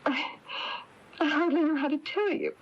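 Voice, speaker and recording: An actress playing an anxious, fretful small-town married woman in a 1980s radio drama, with halting, flustered delivery. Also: high voice. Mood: flustered, confiding. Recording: dull lo-fi AM radio tape.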